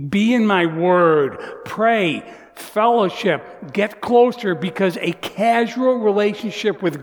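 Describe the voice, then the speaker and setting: A man in his sixties preaching a sermon as pastor, earnest, dropping to soft near-whispers and rising to emphatic lines. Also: a little high. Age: 60s. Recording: long hall reverb.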